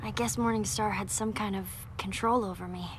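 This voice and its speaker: A woman playing a sincere, frank teenage girl heroine in an animated cartoon. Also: mid-range voice, a little fast, slight background noise, natural voice. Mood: gentle, reassuring.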